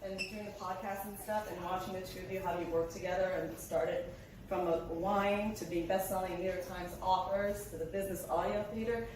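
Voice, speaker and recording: A woman's voice: fairly low pitch, fairly even intonation, a little fast. Woman speaking in a very echoey room. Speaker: event co-host. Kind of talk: impromptu speech at a launch party. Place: hotel event room. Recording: echoey noisy room, distant mic.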